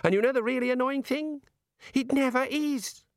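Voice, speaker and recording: A man's voice: extremely high pitch, moderate pace, no room sound, studio quality, voice pushed up. A man reading a story aloud and voicing a put-upon young male wizard who explains things wearily to a god. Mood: weary exasperated. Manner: weary, exasperated explaining.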